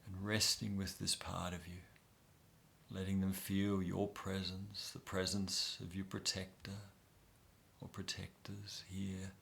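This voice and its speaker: A man guiding a soft, soothing inner-child meditation with long pauses. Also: sits low, little pitch movement, gravelly. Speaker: meditation guide.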